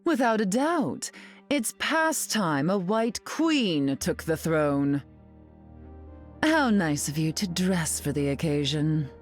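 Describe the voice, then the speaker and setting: An imperious game aristocrat with a drawling, dryly mocking delivery. Her lines are unhurried and swoop expressively, over background music. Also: fairly low pitch, lively intonation. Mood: haughty, dry. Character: haughty, sardonic mutant queen, glamorous telepathic aristocrat. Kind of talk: video game character voice lines.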